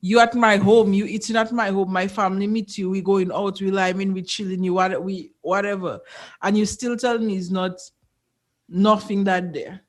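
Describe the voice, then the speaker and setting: A woman with a Caribbean accent in a casual group chat, telling stories in an animated way and acting out exchanges. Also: mid-range voice, moderate pace. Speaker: podcast panelist.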